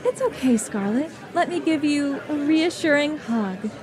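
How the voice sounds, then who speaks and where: Woman playing a slow, sly, sweet schemer. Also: high voice, animated, music in the background, a crowd in the background. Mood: falsely sweet. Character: sly, sweetly scheming female alien posing as human. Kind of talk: amateur audio drama acting.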